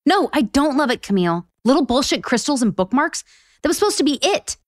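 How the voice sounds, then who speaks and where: A reluctant love expert in an audio comedy. She sounds sardonic and incredulous, with very lively pitch. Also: a little fast. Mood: exasperated, sarcastic. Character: exasperated, sarcastic woman author, reluctant love expert. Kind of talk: audio drama comedy acting.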